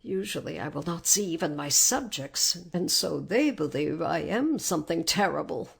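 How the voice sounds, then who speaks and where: A woman plays a timid little old man from a storybook, a humbug wizard. The voice is husky and trembling, hushed and apologetic, rising and falling as it pleads. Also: lowish voice, expressive, normal speed, exaggerated voice. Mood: sheepish.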